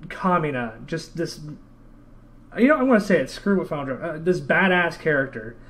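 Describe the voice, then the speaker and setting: A man's voice: a little high, animated, moderate pace, a bit bright. A man hosting a casual podcast interview, chatty and a bit hesitant, talking like a keen fan. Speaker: podcast host.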